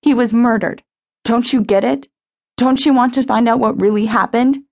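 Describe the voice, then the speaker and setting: Tearful teen girl, urgent and pleading, pitched high, read by a woman. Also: moderate pace, close to an everyday voice. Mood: distraught. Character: grieving teenage girl, insistent and tearful.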